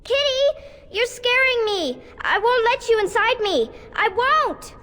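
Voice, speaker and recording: A woman's voice: extremely high pitch, very animated, measured pace, noisy background, full character voice. A woman voicing a young, vulnerable little girl in a fantasy video game. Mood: terrified. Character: frightened little girl, tender, pleading daughter. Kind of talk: video game character acting.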